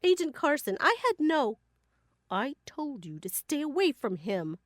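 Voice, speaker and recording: A woman's voice: high voice, sing-song, a little slow, no room sound, clean studio sound. An actress playing a strict, disapproving mother of a teenager in an audio drama, emphatic and scolding.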